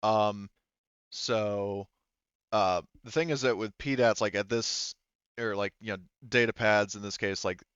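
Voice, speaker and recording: A man's voice: on the low side, fairly even intonation, measured pace, a bit bright, clear, resonant tone, no echo. A man running a tabletop role-playing game, explaining things casually in a rambling way full of asides, on slightly dull audio. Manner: rambling, frequent 'like' asides. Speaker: game master.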